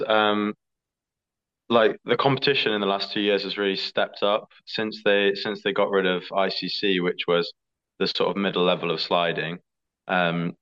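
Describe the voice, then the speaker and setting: A young man, an athlete answering interview questions in a relaxed, chatty way. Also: low voice. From England. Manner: relaxed, chatty, fillers like "like" and "sort of". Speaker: skeleton athlete. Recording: slightly dull lo-fi audio.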